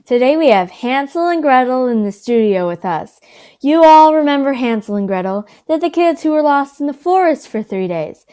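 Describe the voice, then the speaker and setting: A woman reading the part of a brisk reality TV host in readers theater. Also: sits high, animated, mellow, smooth. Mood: presiding.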